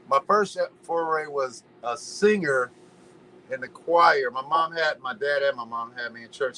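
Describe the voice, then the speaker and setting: A man from Los Angeles chatting casually as a podcast guest, an animated, slangy storyteller who drifts into preachy musings. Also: mid-range voice, a little hiss or hum, poor audio. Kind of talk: casual chat.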